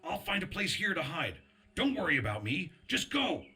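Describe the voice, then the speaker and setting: A man's voice: on the high side, wildly swooping pitch, normal speed, sharp tone, heavily acted. An actor playing an urgent, earnest male resistance soldier in a video game cutscene, speaking in a tense, emphatic way. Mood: desperate, urgent.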